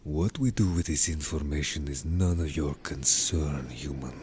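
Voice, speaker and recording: A man's voice: extremely low pitch, moderate pace, darkish tone, a little hiss or hum. A man playing a stern, wary merman in an audio story, curt and grave, distrustful of humans. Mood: disapproving. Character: stern, wary, disapproving merman information gatherer. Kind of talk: audio story character acting.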